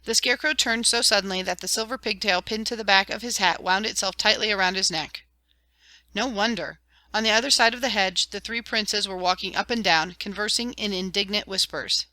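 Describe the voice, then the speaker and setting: Woman storybook narrator, bright crisp tone, dry close sound. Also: mid-range voice, fairly quick, no room sound. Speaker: audiobook narrator.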